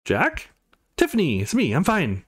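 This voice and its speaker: A man's voice: on the high side, huge pitch swings, measured pace, husky, pitched above the performer's own voice, heavily acted. A man voicing an apologetic young male student in a visual novel, sheepish and reassuring.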